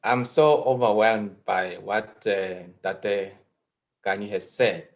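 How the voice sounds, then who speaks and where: A man with a South African accent, a native Setswana speaker, speaking as a history professor on a panel in a halting, earnest, emphatic way. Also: lowish voice. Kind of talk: panel discussion.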